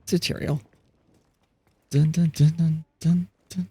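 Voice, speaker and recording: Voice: medium pitch, little pitch movement, a little slow, dark tone, breathy edge, dry recording. A streamer chatting casually during a live gaming stream, laughing often, reading chat aloud and restarting sentences. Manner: frequent restarts and fillers.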